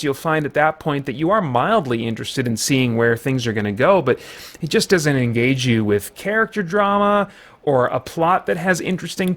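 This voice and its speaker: Male reviewer-host, slightly high voice, conversational, unscripted, feels out his phrasing and self-corrects. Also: a bit bright. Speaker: film reviewer, channel host. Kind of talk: unscripted review commentary to viewers.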